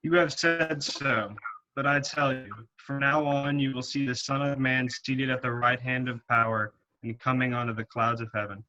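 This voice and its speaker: A man reading the part of Jesus, slow and solemn, in a church. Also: medium pitch, steady tone, sharp tone, close to an everyday voice, rough recording. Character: calm, solemn male Jesus. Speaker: reader of a part. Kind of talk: liturgical dramatic reading.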